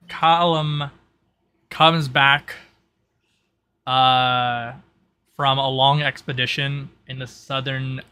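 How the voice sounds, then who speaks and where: A man's voice: mid-range voice, pushed and bright, a little hiss or hum. A man narrating a tabletop roleplay as game master, in a casual, informal storytelling way.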